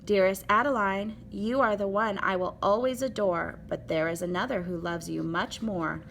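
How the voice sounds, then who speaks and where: A woman's voice: medium pitch, moderate pace, creaky, a bit bright, slight background noise. A woman reading as a friendly, polite male pig neighbour in a children's storybook. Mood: fond.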